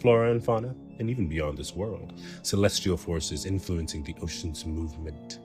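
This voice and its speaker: A man's voice: low voice, normal speed. A man reading scripted narration in a formal, expository manner. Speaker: co-reader of a poem. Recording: prominent music behind the voice. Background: instrumental music.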